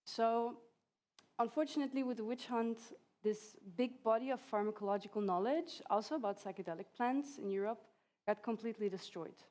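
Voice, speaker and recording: A woman's voice: on the high side, a little fast, darkish tone. A woman in her thirties whose first language is Hungarian, giving an academic talk at a conference with light laughs between points. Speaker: conference speaker. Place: conference hall.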